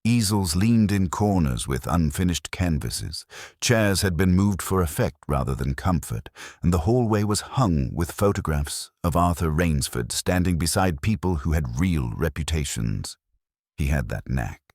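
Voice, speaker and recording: Very deep-voiced man narrating detective fiction. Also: dry recording, clean studio sound. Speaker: audiobook narrator. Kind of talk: scripted first-person detective story narration.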